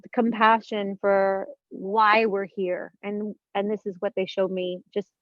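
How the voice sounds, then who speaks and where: An earnest woman of fifty talks through spiritual ideas in a relaxed conversation, searching for words and circling back as she goes. Her voice sits at an ordinary pitch for a woman. The recording is dull and lo-fi, with a dry, close sound. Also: unhurried, dry recording. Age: 50s. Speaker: guest.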